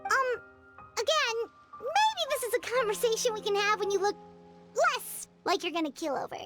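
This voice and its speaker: A woman voicing a tiny, excitable, childlike female fairy companion in a video game, with a high, squeaky, bouncy voice. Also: moderate pace. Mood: nervous. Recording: game audio over soft music.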